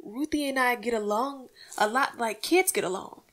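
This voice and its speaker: Woman acting earnest, higher-voiced radio housewife. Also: normal speed, fairly bright tone. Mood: wistful, confiding. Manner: folksy, plaintive, coaxing. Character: earnest, peacemaking housewife and mother, no longer young. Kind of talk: old-time radio comedy acting.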